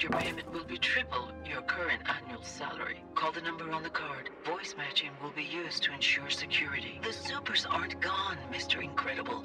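An actress playing a mysterious, composed secret agent delivering a formal, deliberate recruitment message in a game cutscene. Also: female voice, sits low, distant mic.

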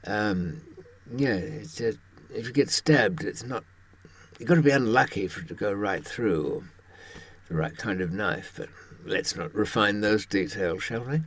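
An elderly man in his seventies giving a wry, halting conversational monologue to camera in a husky voice. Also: on the low side, fairly bright tone. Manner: wry, halting, with pauses for thought. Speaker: presenter talking from personal experience.

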